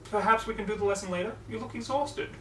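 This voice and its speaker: Guy doing a fancy lady unicorn from a pony story, genteel n gushy, pitched way up, roomy echo. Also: quick pace, natural voice. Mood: solicitous, eager. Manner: genteel, effusive, theatrical. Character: refined, fussy, cheerful female unicorn pony designer. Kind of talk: fan fiction character reading. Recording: roomy, distant mic, faint noise.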